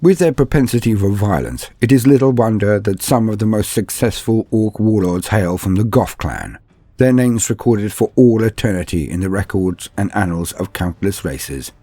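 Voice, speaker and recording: A man giving theatrical, measured scripted narration in the style of a fantasy storyteller. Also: lowish voice, dark tone, no echo. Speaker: narrator of a fantasy lore guide.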